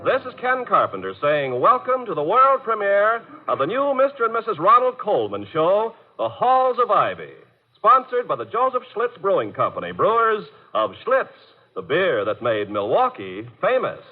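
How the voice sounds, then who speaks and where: A man's voice: high-pitched, huge pitch swings, moderate pace. A man reading a scripted introduction as an announcer on a vintage 1950s radio show. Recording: dull vintage radio recording with music. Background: music.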